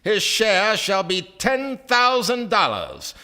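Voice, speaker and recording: A man playing a sly, self-assured gentleman speculator in a stage radio play, speaking formally like an orator. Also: high voice, wildly swooping pitch, slow pace, noisy background, exaggerated voice. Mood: oratorical, persuasive. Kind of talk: stage radio drama acting.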